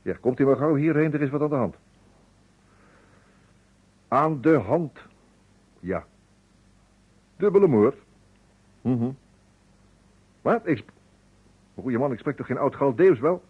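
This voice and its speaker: An actor playing a brusque, sardonic chief police detective in a radio detective drama. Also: male voice, mid-range voice, animated, slow, long pauses, husky. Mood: sardonic, impatient. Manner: curt, sardonic. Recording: dull, muffled old radio recording.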